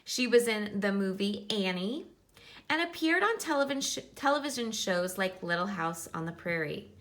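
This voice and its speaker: A woman with a bright, forward voice reads aloud as a teacher would to young pupils. Her delivery is instructive, with simple wording meant for children. Her pitch sits in an ordinary middle range for a woman. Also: animated, normal speed. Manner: instructive, explains words in simple terms. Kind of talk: children's nonfiction read-aloud with explanatory asides.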